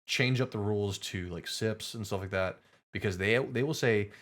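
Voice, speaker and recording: Man, lowish voice, podcast co-host, casual, swears a lot, filler likes. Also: moderate pace, fairly bright tone. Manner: chatty and profane, with filler likes and repeats.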